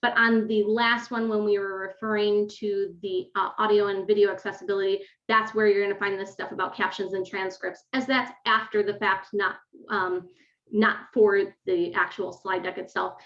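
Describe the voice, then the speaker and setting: A woman with a loud voice presenting a webinar on course design, explaining step by step with fillers, on a desk microphone in a home office. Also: medium pitch, level tone, moderate pace, echoey room. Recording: dull lo-fi webinar audio.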